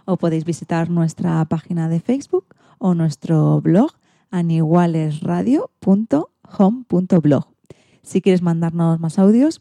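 Low, mellow-voiced female radio host from a dry studio. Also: dry recording. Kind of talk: scripted magazine-show presenting.

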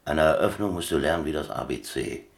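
Very deep-voiced, boastful old captain. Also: male voice, lively intonation, fast talker, creaky, exaggerated voice. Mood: patient, instructive. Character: boastful, easygoing old sea captain spinning tales over chess. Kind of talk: German radio play dialogue.

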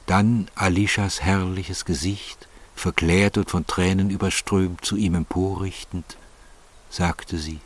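Deep-voiced male literary narrator. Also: fairly even intonation, takes it slowly.

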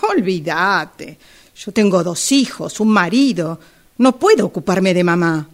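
A woman's voice: lowish voice, animated, a little slow. An Argentine woman playing a blunt, bossy married sister in an amateur radio drama. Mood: indignant, reproachful.

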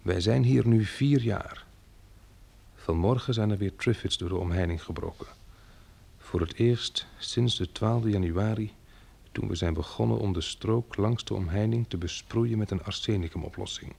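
A man's voice: on the low side, moderate pace, a little mellow. Een man die in een hoorspel een vermoeide, prikkelbare overlever op een belegerde boerderij speelt, met een rauwe, hese stem. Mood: weary, matter-of-fact. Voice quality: gravelly, husky. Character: weary, irritable male survivor running a besieged farmstead. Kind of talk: radio drama acting with diary narration.

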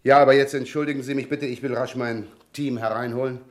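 A man's voice: mid-range voice, steady tone, fast, natural voice. An actor playing a polite, easily flustered businessman in a German radio play, speaking in a courteous, hesitant manner.

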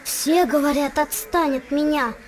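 A woman's voice: high-pitched, a little slow. A woman voicing a curious, pestering young child with a teasing singsong delivery in a scripted children's audio play. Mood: teasing, insistent.

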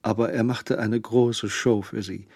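An actor narrating a German crime radio play in a measured, wry and contemptuous manner. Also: male voice, on the low side, fairly even intonation, vocal fry, a little mellow, slightly rough, no echo, studio quality, heavily acted. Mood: wry, scornful.

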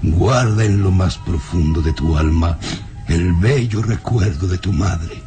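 An actor playing a grave, imperious old nobleman father in a radio drama, speaking in a commanding, deliberate way. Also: low voice, warm tone. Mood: somber, consoling. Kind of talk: radionovela acting. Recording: vintage radio drama with music behind.

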